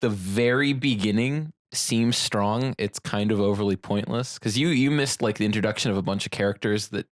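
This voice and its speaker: A man's voice: lowish voice, moderate pace, few pauses, no echo. A man co-hosting a podcast in casual, unscripted discussion, with a dry, offhand and hesitant manner. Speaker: podcast co-host.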